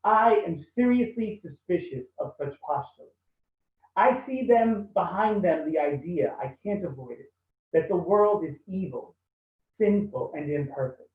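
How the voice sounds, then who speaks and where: A man's voice: high voice, animated. Man reading poems over tinny video call. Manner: quick spoken asides, then measured reading. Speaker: poet reading. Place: home, kitchen or similar room. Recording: echoey tinny call audio from far off.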